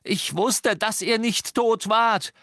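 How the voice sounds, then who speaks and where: A man's voice: on the high side, animated, unhurried, a bit bright, dry recording, studio quality, pitched above the performer's own voice. A man voicing a defensive, agitated teenage boy in an audiobook, insistent and earnest as he pleads his case. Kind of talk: audiobook character voice.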